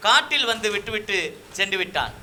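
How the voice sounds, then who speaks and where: A man's voice: high voice, very animated, fairly quick. A man narrating a folk tale dramatically as a puppeteer storyteller.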